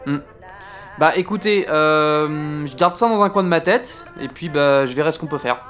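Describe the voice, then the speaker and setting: A man's voice: fairly high pitch, normal speed, a bit bright, soft background music, lightly acted. A man playing an ambitious, indecisive French First World War captain who hesitates and gets defensive. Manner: hesitant, defensive, self-important. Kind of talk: amateur comedy audio drama.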